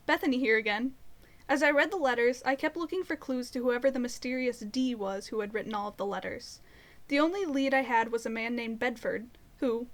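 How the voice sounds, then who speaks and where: An actress plays an earnest young woman in an audio drama. Her voice is high, and she tells her story in a chatty, expressive way. She sounds curious and determined. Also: close to an everyday voice. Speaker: narrator and lead actor of an audio drama. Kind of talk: audio drama narration and dialogue.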